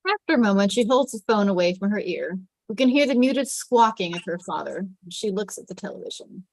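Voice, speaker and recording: A woman's voice: medium pitch, lively intonation, fairly quick, dry recording, rough recording. A woman reading scripted stage directions as narration at a table read.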